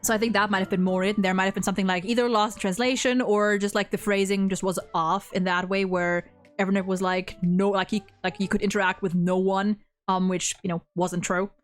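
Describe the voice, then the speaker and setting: A woman's voice: mid-range voice, flat delivery, a little fast, soft background music. A woman hosting a casual, unscripted game discussion, speaking in an emphatic, opinionated, rambling way. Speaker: host of a video game story ranking discussion.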